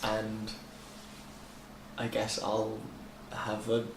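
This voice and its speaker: A man's voice: fairly low pitch, monotone, very slow, creaky. A man chatting casually and jokingly as a player in a tabletop role-playing game. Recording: echoey room with faint background noise.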